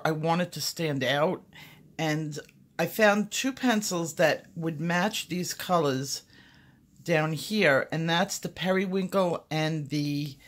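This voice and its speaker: A woman with a slightly hoarse voice giving a casual, step-by-step tutorial in an informal, explanatory way. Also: low voice. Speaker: coloring tutorial host.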